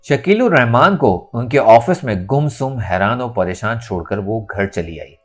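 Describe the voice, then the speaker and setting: A man narrating a scripted novel in a dramatic, expressive storytelling manner. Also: mid-range voice, unhurried, soft background music. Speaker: audiobook narrator.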